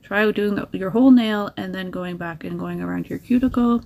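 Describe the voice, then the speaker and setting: A woman's voice: mid-range voice, a little fast, vocal fry, a little mellow. A woman talking casually through a nail art tutorial, chatty and encouraging, sharing little tips as she works.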